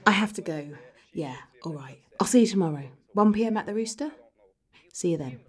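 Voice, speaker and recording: An actress playing an exasperated, sharp-tongued, sarcastic partner who nags about money in a dark comedy audio drama. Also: female voice, medium pitch, animated, a little fast, natural voice. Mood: guarded. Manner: scolding, foul-mouthed, sarcastic. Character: exasperated, money-pressed, sharp-tongued nagging wife or girlfriend.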